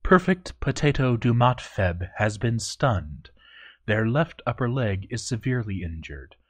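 A man voicing a proud, stern dwarf overseer in formal, measured, scripted storytelling narration. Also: mid-range voice, sing-song. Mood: solemn. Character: proud, stern male dwarf fort overseer, grandly dutiful. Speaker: narrator reading a fort overseer's journal.

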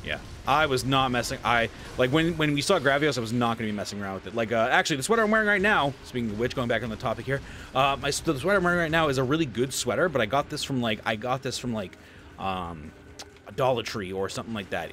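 A man's voice: mid-range voice, sing-song, quick pace, bright, forceful tone, slight background noise. A man in his thirties chatting casually while playing a video game at home, a little stuffy-nosed and rambling. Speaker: gaming streamer. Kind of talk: casual gameplay commentary. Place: home room, seated at desk.

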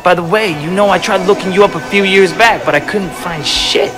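An actor playing a brash, chatty young male street gangster in a video game, with slangy, excitable talk. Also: high-pitched, brisk, over music, natural voice. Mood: upbeat, cocky. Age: young adult.

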